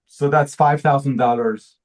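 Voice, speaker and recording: Man, native Hebrew speaker, mellow dark tone, earnest presenter, halting restarts. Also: mid-range voice, fairly even intonation. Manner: earnest, repeats words while searching for phrasing. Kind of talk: pitch presentation to an audience.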